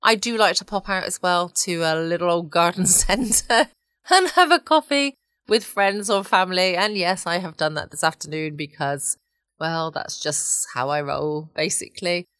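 A woman with an English accent and a low-pitched voice chats as a bedtime podcast host. She is relaxed and gently self-mocking. The recording is close and dry, made at home. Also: moderate pace, clean tone, no room sound. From England. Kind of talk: casual late-night bedtime chat.

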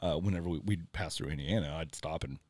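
A man's voice: very deep voice, quick pace, a little mellow, no echo. A man telling a long personal story in casual chat, rambling with lots of "like" and "you know". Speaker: podcast guest.

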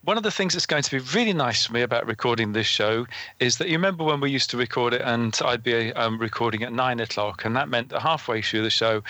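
A man's voice: mid-range voice, fast talker, pushed and bright, no echo. A man co-hosting a podcast, chatting cheerfully. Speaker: podcast co-host. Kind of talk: casual chat.

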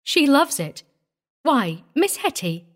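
A young backwoods wife from a storybook, acted out by a woman narrator, with a rural Southern drawl. She talks in a raised pitch, earnest, prim and apologetic, with simple folksy devotion. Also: sing-song, slow pace, exaggerated voice. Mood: pleasant, chiding.

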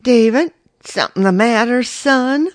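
Man doing a farm mother, raised high pitch, anxious, insistent, dry close sound, storybook reading. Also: unhurried, dry recording. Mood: alarmed. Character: worried, pleading Southern farm women, mother and grandmother.

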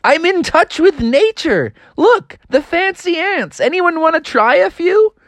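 A storyteller voicing an eager young male frog who wants to be a rugged outdoorsman, raising the pitch well above the storytelling voice. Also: sing-song, a little slow, no room sound, heavily acted. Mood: excited. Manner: eager, shouty and impatient.

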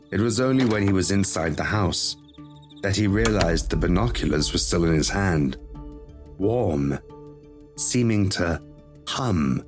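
Deep-voiced man narrating a dark story. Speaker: narrator of an audio horror story. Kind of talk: scripted dramatic story narration.

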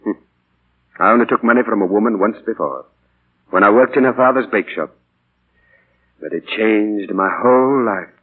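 A man's voice: lowish voice, normal speed, long pauses, husky. A middle-aged man acting in 1940s radio style as a witty, teasing English novelist nearing middle age, playful and theatrical. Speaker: radio actor. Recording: tinny, band-limited 1940s radio broadcast.

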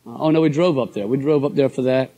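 A middle-aged man with a hoarse voice, giving an enthusiastic, folksy motivational talk to a live audience. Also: medium pitch, fast talker, dark tone. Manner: folksy, enthusiastic, addresses crowd as guys and folks. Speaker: motivational speaker at a business convention.

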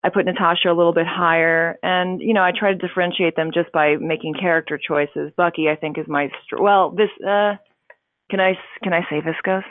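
A woman's voice: fairly low pitch, fairly even intonation, brisk, dry recording. A woman whose first language is Russian, a voice actor and narrator, chatting casually in an interview with plenty of fillers and restarts. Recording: tinny phone-like line.

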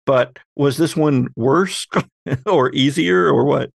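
A man's voice: on the high side, takes it slowly, gravelly, dry recording, clean studio sound. A man hosting a podcast in a casual, conversational discussion style. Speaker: podcast host.

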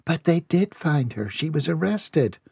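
Guy doing a fussy motherly cook lady, timid, raised husky voice, storybook. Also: on the high side, big pitch swings, measured pace, warm tone, no echo, clean studio sound, voice pushed up, heavily acted. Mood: soothing. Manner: fretful, soothing.

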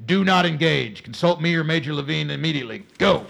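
An actor playing a stern, angry army colonel in a radio play, with a gravelly voice and clipped, commanding delivery. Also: male voice, fairly high pitch, lively intonation, brisk, fairly bright tone, slight background noise, heavily acted.

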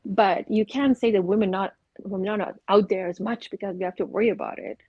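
A woman's voice: mid-range voice, steady tone, fast talker, darkish tone, breathy edge, no room sound, lo-fi sound. A woman from Afghanistan talking in an earnest, opinionated way on a casual podcast, mixing in spiritual ideas. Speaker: podcast co-host.

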